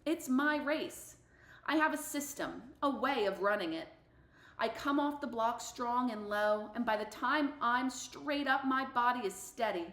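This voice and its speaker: A sassy middle-school girl runner, voiced by a woman, tells her own story in a storybook read-aloud. She is fiercely competitive and emphatic, with slangy phrasing. The voice sits fairly high and rings in an echoey room. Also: measured pace, a bit bright. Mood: sassy, confiding. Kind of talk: expressive audiobook-style read-aloud.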